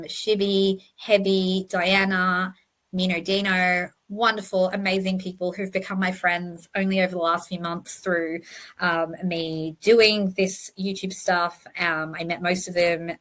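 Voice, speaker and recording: Female host, true crime commentary. Slightly low voice, slight lisp, earnest and conversational delivery. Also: fairly even intonation, unhurried, a bit bright. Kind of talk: informal explanatory monologue.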